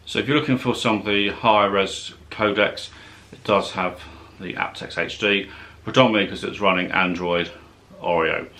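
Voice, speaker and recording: Low-voiced man reviewing tech. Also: steady tone, moderate pace, pushed and bright. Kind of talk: casual product review to camera.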